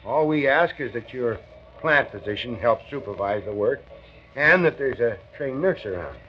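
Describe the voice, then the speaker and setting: A man's voice: mid-range voice, expressive, moderate pace, natural voice. An actor playing an eager, plucky working man in 1940s radio drama, hearty and emphatic with an American accent. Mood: earnest, eager. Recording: dull, noisy 1940s radio recording.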